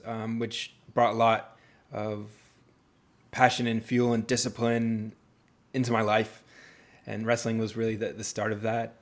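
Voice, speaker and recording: A man in his thirties hosting an interview, speaking hesitantly and often repeating words as he searches for phrasing. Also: on the low side. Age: 30s. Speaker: podcast host. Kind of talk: conversational interview.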